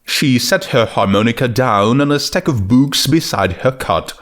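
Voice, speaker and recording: A man's voice: medium pitch, animated, moderate pace, fairly bright tone. A man reading a piece of comic fiction aloud as its narrator, in scripted storytelling style.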